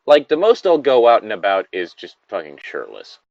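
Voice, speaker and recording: A man's voice: lowish voice, huge pitch swings, moderate pace, creaky, no room sound, lo-fi sound. A man in casual banter as a show co-host, rambling, swearing and correcting himself as he goes. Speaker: co-host of a story-reading show.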